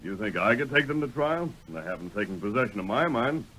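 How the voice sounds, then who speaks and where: A firm, upright American cowboy hero in a low, authoritative voice. He speaks deliberately in 1940s radio western style, over a faintly noisy recording. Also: big pitch swings, sharp tone. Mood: cool, challenging. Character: masked cowboy hero, steady, commanding, upright man.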